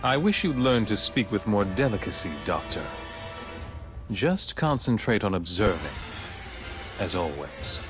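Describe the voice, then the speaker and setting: A man playing a composed, authoritative director in a video game, speaking in a formal, measured, polite and guarded way. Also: fairly low pitch, sing-song. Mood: cool, guarded. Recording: background music under the voice.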